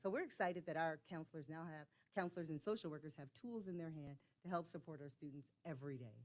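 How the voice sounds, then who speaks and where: A woman's voice: sits low, fast. A woman with a big, enthusiastic voice giving a staff report at a school board meeting. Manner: loud and enthusiastic, says she has a big voice. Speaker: district staff presenter.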